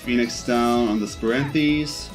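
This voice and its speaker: A man's voice: mid-range voice, takes it slowly. A man giving casual live gameplay commentary as a streamer, rambling and thinking aloud as he goes. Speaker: video game streamer. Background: game music.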